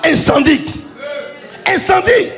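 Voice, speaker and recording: A man pastor preaching a fiery sermon in a church, with chanted and shouted peaks, and questions he answers himself. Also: animated, takes it slowly, faint music behind. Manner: preaching with sung, shouted crescendos then dropping low; asks the congregation questions and answers them himself. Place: church service.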